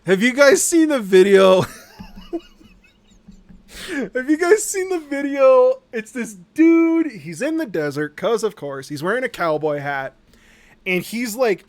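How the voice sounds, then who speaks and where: Animated, higher-voiced male streamer. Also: dry recording. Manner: animated, sarcastic, sweary storytelling; acts out mocking quotes. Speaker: livestream host. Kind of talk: casual rambling livestream chat.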